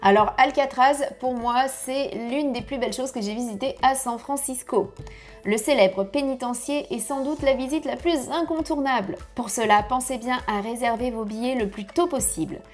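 A woman in her thirties giving travel tips to camera from her home office, lively and chatty, on a lapel mic. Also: high-pitched, normal speed, soft background music. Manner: lively, chatty, gesturing with hands. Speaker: family travel vlogger. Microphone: clip-on lapel mic.

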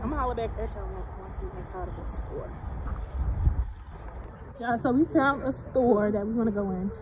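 A woman's voice: a little high, moderate pace. A woman in her twenties with an American accent, chatting casually to a handheld camera as a vlogger. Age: 20s. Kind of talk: casual vlog chat to camera. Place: on location outdoors in snow, in a car and around shops. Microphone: handheld camera or phone at arm's length. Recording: noisy handheld on-location recording.

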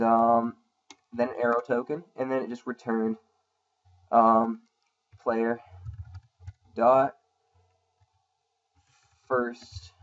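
A man talks through a coding tutorial over a screen recording, casual and unscripted, often pausing on ums while he types. His voice sounds a little rough from a sore throat, and it sits at a middling pitch. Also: muffled recording. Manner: casual, thinking aloud with many ums. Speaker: programming tutorial host. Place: at a computer, voice-over on screen capture.

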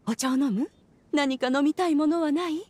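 A woman's voice: high voice, normal speed, darkish tone, natural voice. A woman voicing a proud immortal lady who poses as a doting auntie in a video game, theatrical and mannered. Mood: doting, chatty. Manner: switches between doting feminine chatter and archaic haughty speech. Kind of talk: Japanese video game voice acting.

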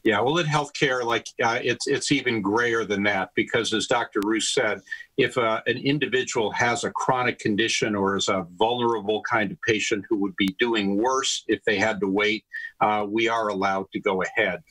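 A man's voice: lowish voice, steady tone, normal speed, a bit bright, slightly rough, rough recording. An older man, a health system leader, explaining steadily over a video call from a home study, with light fillers. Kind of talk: expert answers on a video call. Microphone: video call webcam.

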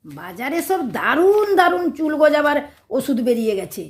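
A woman with a West Bengal accent playing a sharp-tongued, quarrelsome middle-aged wife in a theatrical domestic comedy audio play. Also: high-pitched, very animated, moderate pace. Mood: indignant. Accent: West Bengal Indian. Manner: scolding, sarcastic, theatrical. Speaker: actress in an audio drama.

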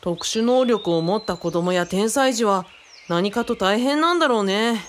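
A woman's voice: medium pitch, expressive, normal speed, slight background noise, lightly acted. A woman playing an eager, kindly young craft enthusiast who speaks politely and keenly in a radio drama. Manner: keen, polite, explanatory.

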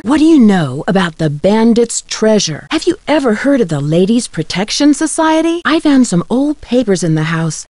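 A woman's voice: fairly high pitch, a little mellow, dry recording, natural voice. An actress playing a curious, polite young female amateur detective in a video game, friendly, inquisitive and articulate.

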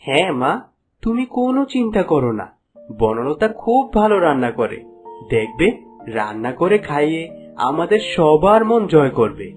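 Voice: mid-range voice, big pitch swings, moderate pace, faint music behind, close to an everyday voice. An actor voicing an earnest, polite young prince and new husband in a fairy-tale cartoon. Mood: reassuring.

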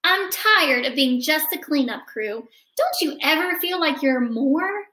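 An earnest little storybook eraser who longs to matter, played by a woman, with an American accent. The voice is high and swings expressively, in a roomy recording. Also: animated, measured pace, sharp tone, exaggerated voice. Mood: discouraged. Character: earnest, unappreciated little female eraser longing to create. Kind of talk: children's storybook character reading.

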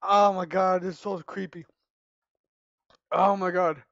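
Young male gamer, hoarse from screaming, high-pitched, frightened muttering into a headset. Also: little pitch movement, measured pace, fairly bright tone, no room sound, highs cut off. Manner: jumpy, panicky, screams and mutters. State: losing his voice, hoarse. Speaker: gaming YouTuber. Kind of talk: horror game let's play commentary. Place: small room at home, indoors. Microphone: headset mic.

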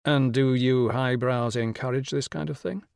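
A man's voice: mid-range voice, steady tone, normal speed, creaky, a little mellow, clear, resonant tone, dry recording, lightly acted. A man reading a novel, voicing an observant, sceptical male visitor with a dry manner. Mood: questioning.

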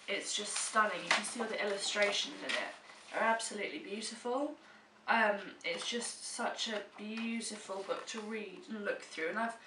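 A young British woman vlogger with a stuffy cold, chatting casually and emphatically to camera in a room at home. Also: medium pitch. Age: young adult. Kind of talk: casual monthly favourites chat to camera. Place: small room at home, indoors. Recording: roomy echo, distant mic, faint hiss.

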